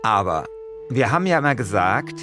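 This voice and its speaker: A man's voice: medium pitch, very animated, normal speed, bright, forceful tone. A man in casual brainstorming chat as a podcast co-host, colloquial and emphatic as he thinks aloud. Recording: music behind the talk. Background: music.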